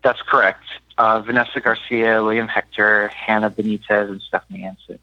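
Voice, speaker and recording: A man from Miami speaking as an interview guest, thoughtful and halting as he searches for words in reflective talk. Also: on the low side, fairly even intonation, dry recording, highs cut off. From Miami, United States. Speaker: interview guest, playwright and mentor. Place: radio studio.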